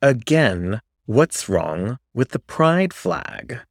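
A man's voice: medium pitch, very animated, drawn-out words, gravelly, no echo, studio quality, heavily acted. A man voicing an argumentative, self-righteous male student in a story, emphatic and dismissive. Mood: griping. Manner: emphatic, griping, insistent.

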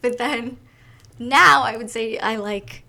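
A woman co-hosting a podcast in casual chat, thoughtful and quick to laugh, with frequent fillers. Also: a little high, creaky, bright, forceful tone.

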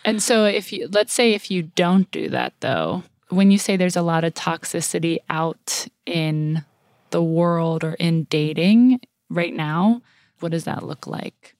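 Woman podcast host asking questions, slightly low voice, dry studio sound. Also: measured pace, a little mellow, no room sound. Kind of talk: conversational interviewing.

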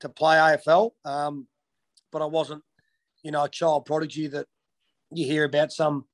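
A man's voice: on the high side, flat delivery, moderate pace. An Australian man from Western Australia in his thirties, answering interview questions casually with many ums and restarts. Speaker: interviewee, former footballer.

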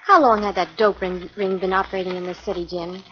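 An actress playing a playful, flirtatious assistant in a 1940s radio drama. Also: female voice, medium pitch, fairly even intonation, a little fast, lightly acted. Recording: muffled 1940s radio recording.

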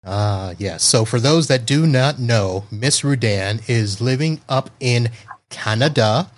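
A man's voice: mid-range voice, slow pace. A man from Florida hosting a podcast over a video call, chatting casually, joking and laughing often. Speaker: podcast host. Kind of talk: casual interview chat.

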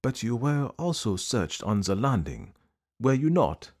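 A man voicing a fussy, precise male detective who asks polite, probing questions in a deliberate way. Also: medium pitch, sing-song, darkish tone, pitched above the performer's own voice.

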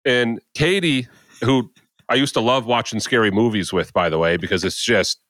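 A man's voice: medium pitch, normal speed, fairly bright tone, no room sound. A man co-hosting a podcast, telling a story in casual chat, laughing and acting out the quotes. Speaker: podcast co-host.

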